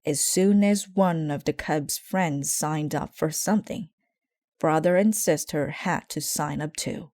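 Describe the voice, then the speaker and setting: Female storybook narrator, mellow tone, slow and deliberate read, dry sound. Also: low-pitched, no room sound. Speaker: storybook reader. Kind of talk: scripted children's story narration, read aloud.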